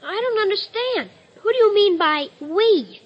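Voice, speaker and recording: Very high, innocent little boy. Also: expressive, unhurried. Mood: puzzled. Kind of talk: old-time radio musical comedy acting. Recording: muffled vintage radio recording.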